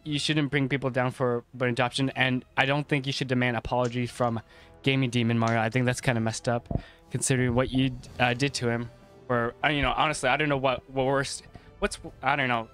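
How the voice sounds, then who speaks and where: A man's voice: medium pitch, fairly bright tone. A man in his twenties arguing over game voice chat, repeating himself and stammering when pressed. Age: 20s. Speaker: video creator calling out another player. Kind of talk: heated voice chat argument.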